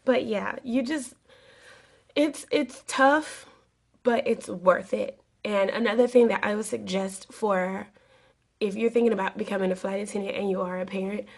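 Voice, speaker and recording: Raspy woman with a cold. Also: medium pitch, steady tone, moderate pace. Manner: rambling, earnest, with many fillers. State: getting over a cold. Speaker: flight attendant vlogger. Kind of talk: casual advice vlog.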